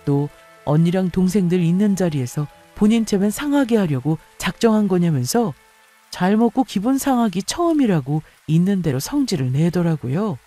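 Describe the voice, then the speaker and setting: Woman reading a radio story, mellow relaxed voice, quiet music behind. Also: fairly low pitch, normal speed. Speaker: story narrator. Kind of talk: scripted first-person story narration.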